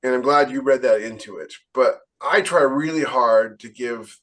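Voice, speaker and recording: A man's voice: mid-range voice, moderate pace. A man hosting a casual podcast discussion, opinionated and acting out the exchanges he retells. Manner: animated retelling, acts out quoted exchanges, opinionated. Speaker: podcast host and fiction author. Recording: distant from the microphone.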